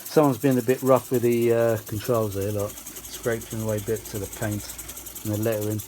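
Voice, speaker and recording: A man's voice: on the low side, flat delivery, normal speed, darkish tone, slight background noise. Man chatting enthusiastically at his workbench. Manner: enthusiastic, rambling, informal. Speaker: vintage audio hobbyist presenter. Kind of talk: casual unscripted chat while demonstrating a machine. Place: indoors at a cluttered workbench.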